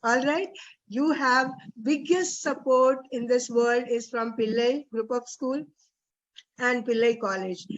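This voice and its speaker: A high-pitched woman with an Indian accent leads an online workshop. She talks informally and encouragingly, stressing points through repetition. The audio is dull and lo-fi, like a web call. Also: slow. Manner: encouraging, emphatic with repeated phrases. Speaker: guest trainer leading an online teacher workshop. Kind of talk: informal motivational closing talk to trainees. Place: online video session.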